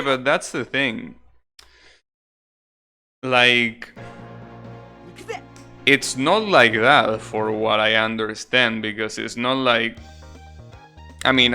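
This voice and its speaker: Male game streamer, mid-pitched, unhurried, hesitant off-the-cuff commentary over prominent game music. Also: bright, forceful tone. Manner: hesitant, repeats words, swears casually. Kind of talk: casual live gameplay commentary. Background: video game music.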